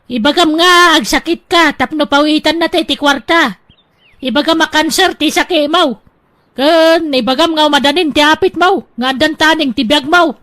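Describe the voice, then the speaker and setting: A woman's voice: high-pitched, normal speed, fairly bright tone. An actress playing a scolding older wife in an audio drama, nagging her husband.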